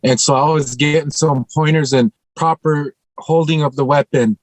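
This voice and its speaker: A man speaking earnestly on a panel as an actor, thoughtful and halting, often repeating a phrase as he goes. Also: a little high, fairly even intonation, dry recording, rough recording.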